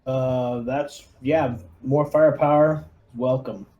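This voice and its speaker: A man's voice: medium pitch, fairly even intonation, slow, lo-fi sound. A man chatting casually as the game master of a tabletop roleplaying game.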